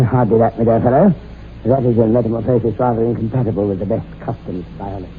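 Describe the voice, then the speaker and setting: An actor playing a grave, urbane, insistent psychiatrist with a formal, authoritative manner, in a radio horror drama. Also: male voice, fairly low pitch, fairly even intonation, a little fast, natural voice. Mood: dry dismissal. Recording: tinny, hissy old radio drama recording.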